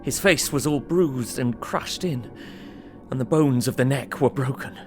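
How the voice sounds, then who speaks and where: Man playing troubled young husband telling his diary, sombre and measured, audio drama with music behind. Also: mid-range voice, close to an everyday voice. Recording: music under the voice.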